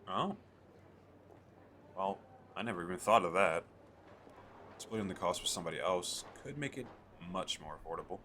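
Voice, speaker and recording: Very deep-voiced, coaxing fantasy merchant, acted by a man. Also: moderate pace, pauses often, pushed and bright, slight background noise. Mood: pleased, friendly. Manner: coaxing salesman, conversational, hesitant asides. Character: eager, chatty male fantasy merchant selling magical crystal castles. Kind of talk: ASMR audio roleplay sales pitch addressed to the listener.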